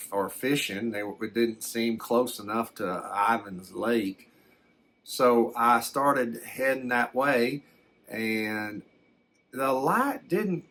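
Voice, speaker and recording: A man voicing a plainspoken, folksy fellow recounting a strange encounter in halting, rambling, unhurried ASMR storytelling. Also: medium pitch, a bit bright. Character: folksy man recounting his alien abduction.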